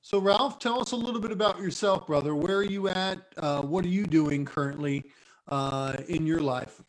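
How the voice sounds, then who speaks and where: A man's voice: fairly high pitch, normal speed, low-quality recording. A man hosting the chat in an upbeat, jokey way, calling guests brother. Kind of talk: casual video-call chat.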